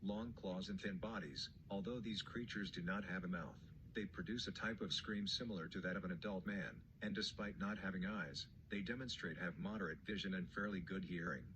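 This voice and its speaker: A man's voice: sits low, fairly even intonation, a little fast, few pauses, lightly acted. A man playing a grave emergency broadcast announcer, reading a scripted alert in a formal, impersonal way. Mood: stern, official. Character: grave male emergency broadcast announcer warning of deadly danger. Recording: noisy emergency broadcast clip.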